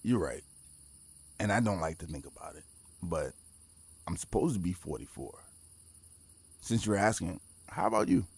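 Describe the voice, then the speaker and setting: A man playing a weary forty-four-year-old time leaper in an audio drama, reserved and low-key. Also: animated, normal speed, vocal fry, pauses often, breathy edge, no echo, heavily acted. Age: 40s. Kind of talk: naturalistic audio drama dialogue.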